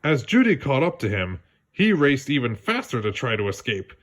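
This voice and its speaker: A man narrating a children's read-along story in a lively storytelling manner. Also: mid-range voice, normal speed. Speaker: storybook reader.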